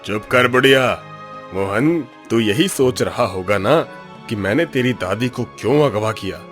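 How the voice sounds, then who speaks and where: Gruff greedy cartoon bully, mid-pitch, faint music behind. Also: male voice, lively intonation, measured pace, a bit bright. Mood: menacing. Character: greedy village chief's son, rough bully. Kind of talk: cartoon dubbing.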